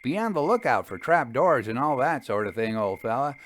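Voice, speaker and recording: A man reading a storybook character, a breezy, excitable young gentleman with an animated, colloquial manner. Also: mid-range voice, fairly quick. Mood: sleepy, jovial. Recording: clear background noise.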